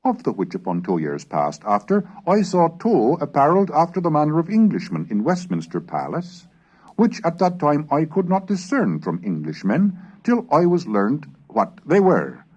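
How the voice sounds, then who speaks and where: A man giving a formal, measured reading of historical English texts in a scripted, declamatory narration style. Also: on the high side, wildly swooping pitch, darkish tone, highs cut off.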